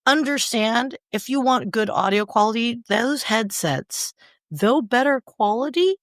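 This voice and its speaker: A woman's voice: on the high side, lively intonation, unhurried, dry recording, studio quality. A woman co-hosting a casual podcast, blunt and jokey, with crude asides, speaking into a desk mic. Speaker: podcast co-host. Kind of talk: casual chat. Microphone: desk mic about seven inches away.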